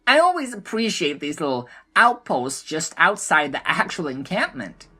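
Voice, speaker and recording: A woman's voice: sits low, very animated, normal speed, pushed and bright, slightly rough, pitched above the performer's own voice, exaggerated voice. A woman voicing a gleeful, mischievous male gnome arsonist in a fantasy audio drama, with a cocky, taunting manner.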